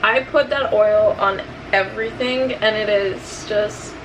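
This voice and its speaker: A woman's voice: medium pitch, fairly even intonation, measured pace, background noise. A woman chatting casually in a vlog, rambling along in a self-deprecating way. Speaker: vlogger.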